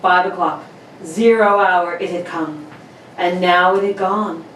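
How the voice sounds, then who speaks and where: A woman's voice: lowish voice, fairly even intonation, measured pace, fairly bright tone. A woman narrator reading a radio play script in a dramatic storytelling style. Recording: roomy, distant mic, faint background noise.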